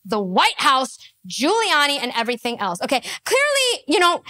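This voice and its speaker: A woman's voice: sits high, wildly swooping pitch, normal speed, pushed and bright, no room sound. A woman in her thirties hosting a satirical monologue, boastful, indignant and theatrical, close on a studio desk mic. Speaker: show host. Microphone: close desk microphone.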